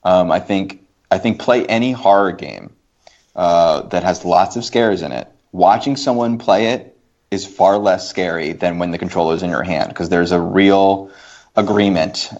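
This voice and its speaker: Male podcast guest, low-pitched, emphatic and halting, opinionated conversational delivery, slightly muffled lo-fi recording. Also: a little mellow. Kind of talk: casual opinionated discussion. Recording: dull lo-fi podcast audio.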